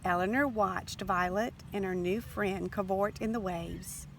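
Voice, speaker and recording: A woman reading a children's storybook aloud in an expressive, deliberate narration. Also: medium pitch, gravelly. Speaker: storybook reader. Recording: faint background noise.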